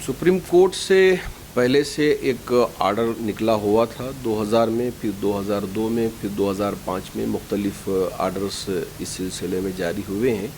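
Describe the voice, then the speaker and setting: A man giving a formal, measured public statement as a cleric, pausing to find his words. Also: lowish voice, darkish tone, a little hiss or hum. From India. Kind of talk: explanatory public statement to camera.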